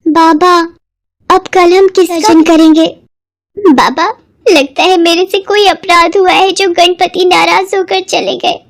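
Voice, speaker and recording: A woman's voice: very high voice, steady tone, unhurried, pitched above the performer's own voice. A woman voicing a devout, earnest young girl with a plaintive manner in a cartoon moral story. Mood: tearful, pleading. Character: devout, tearful young girl pleading with her father.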